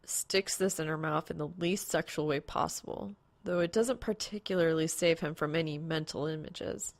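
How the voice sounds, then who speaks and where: A woman's voice: deep voice, moderate pace, dry recording. A woman reading fan fiction aloud as a scripted story narrator. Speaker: podfic narrator.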